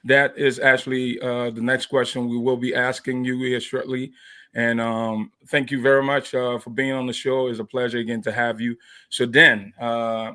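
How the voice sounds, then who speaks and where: A man hosting a podcast interview, talking haltingly with many fillers. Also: mid-range voice, little pitch movement, bright, forceful tone. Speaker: podcast host. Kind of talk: interview introduction.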